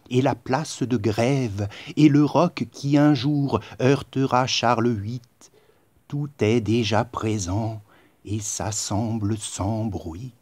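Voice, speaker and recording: A man recites poetry in a solemn, declamatory way. His delivery is very slow and measured, broken into short phrases with pauses. The tone is dark and mellow, and the sound is close and dry. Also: lowish voice, dry recording. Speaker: poet reciting own poem.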